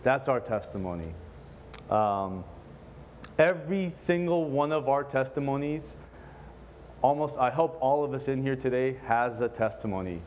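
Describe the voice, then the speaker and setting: A male preacher from Lebanon speaks in an everyday, mid-pitched voice. He leads a church congregation in prayer and then teaches scripture in a loose, conversational way, with plenty of pauses and restarts. A faint hum of room ambience sits behind him. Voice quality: relaxed, filler-heavy, earnest delivery with frequent self-restarts. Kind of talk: casual conversational sermon with prayer. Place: church service.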